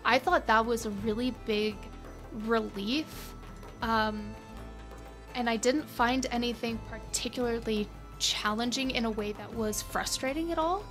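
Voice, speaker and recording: Higher-voiced, enthusiastic woman in casual conversation. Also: measured pace, soft background music. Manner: enthusiastic, expressive. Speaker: guest tabletop role-playing player.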